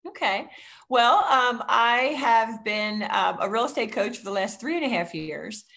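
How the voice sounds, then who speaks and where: A woman's voice: on the high side, animated, a little slow, gravelly, pushed and bright. A woman in her fifties with a Philadelphia accent, a real estate coach telling her story over a video call, chatty and full of ums. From Philadelphia area. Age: 50s. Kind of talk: casual video-call interview. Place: home room, indoors.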